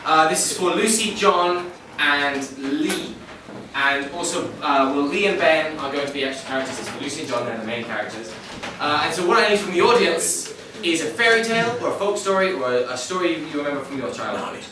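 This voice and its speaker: A man's voice: on the high side, lively intonation. Young man hosting improv in an echoey hall. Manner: chatty, quick to laugh. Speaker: improv show host. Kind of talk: live improv comedy hosting. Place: small theatre stage with audience. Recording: echoey hall, far mic, noisy.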